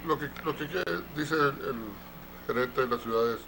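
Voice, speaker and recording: A man with a mid-pitched voice interpreting at a council meeting, halting and restarting as he goes. A faint hiss of background noise sits under his voice. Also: creaky, a bit bright. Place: council chamber.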